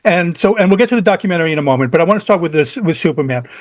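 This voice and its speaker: An eager man from New York hosts the interview and laughs along often. His voice is high for a man and his questions come out fast. The sound is dry and close. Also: animated, no echo. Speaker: interviewer host. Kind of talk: casual interview.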